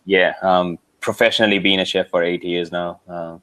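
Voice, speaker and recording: A man who is a guest in a casual chat, soft-spoken and a little hesitant, agreeing often. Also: deep voice, level tone, normal speed.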